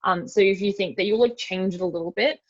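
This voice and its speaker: A woman's voice: mid-range voice, fast talker. An Australian woman giving an informal step-by-step online tutorial in a casual, chatty way. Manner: casual, chatty, frequent "like" and "super". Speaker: webinar presenter giving a demonstration. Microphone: video call. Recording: dull lo-fi video call audio.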